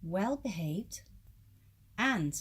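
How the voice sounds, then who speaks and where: A woman playing a loyal, protective godmother in an audio drama, speaking slowly and deliberately. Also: medium pitch, animated, loud music behind the voice. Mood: reassuring. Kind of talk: scripted audio drama acting. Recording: loud noise and clicks, amateur microphone.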